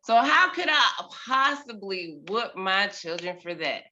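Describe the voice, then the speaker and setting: Bright, slow-telling mother sharing a story. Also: mid-range voice, lo-fi sound. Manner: expressive, dramatic storytelling with big swings in volume. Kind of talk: personal storytelling performance. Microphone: video call.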